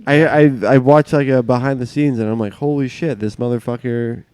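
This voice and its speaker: A man's voice: medium pitch, steady tone, fairly quick, a little mellow, clean tone, dry recording, low-quality recording. A man in his late twenties co-hosting a podcast, chatting loosely with lots of swearing and joking. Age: late 20s. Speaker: podcast co-host. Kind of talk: casual chat.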